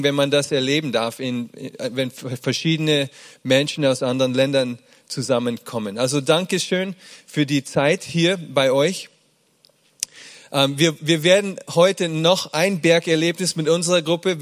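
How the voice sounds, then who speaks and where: A middle-aged pastor from Germany giving a sermon, speaking warmly with many hesitations and fillers. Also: male voice, fairly high pitch. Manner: warm, hesitant delivery with frequent fillers.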